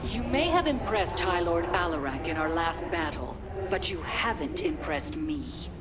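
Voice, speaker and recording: A woman's voice: on the low side, sing-song, a little slow, very noisy. A woman playing a proud, scornful alien warrior commander in a video game, formal and challenging.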